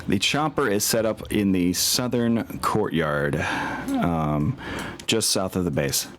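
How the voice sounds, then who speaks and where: Deep-voiced man running a tabletop game. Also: lively intonation, slow. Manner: informal, thinks aloud. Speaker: game master.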